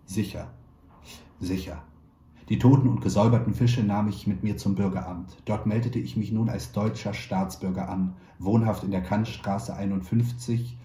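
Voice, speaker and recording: A man reading a short story aloud in a calm, measured way, lightly voicing the dialogue. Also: lowish voice, reverberant. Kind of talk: literary reading.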